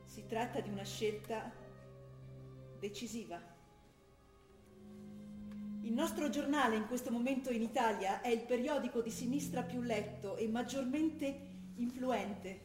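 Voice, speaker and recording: A woman's voice: fairly high pitch, measured pace, pauses often, natural voice. An actress playing a defiant young militant woman from who reads her letters and argues with her father. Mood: earnest. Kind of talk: stage play broadcast on radio. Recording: echoey stage recording with music.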